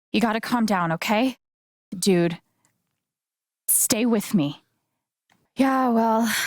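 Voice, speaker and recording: Casting: actress as wry, candid young woman for a narrative game, slow conversational delivery, natural mid-range voice, very dry sound. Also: mid-range voice, no room sound, close to an everyday voice. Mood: calm, urging. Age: young adult. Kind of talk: naturalistic video game acting.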